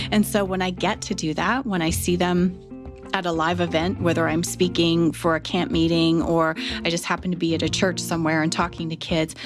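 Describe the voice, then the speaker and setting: A woman talks reflectively in an interview, her voice a little low. Music plays clearly behind her. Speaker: children's show contributor and speaker. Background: background music.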